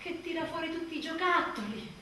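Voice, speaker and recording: A woman's voice: very high voice, expressive, fairly quick. An actress playing an exhausted young mother coming apart after childbirth, in an intense radio theatre monologue full of obsessive repetition and swings between murmurs and outbursts. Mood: wry, weary. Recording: very echoey, distant, noisy stage recording.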